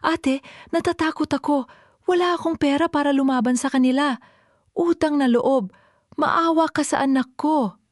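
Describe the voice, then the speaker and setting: A woman's voice: sits high, moderate pace, pauses often, dry recording, clean studio sound, pitched above the performer's own voice, natural voice. A woman voicing a frightened young mother who cries and begs for mercy. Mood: tearful pleading. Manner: desperate, begging. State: tearful. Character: young mother, crying, pleading in fear.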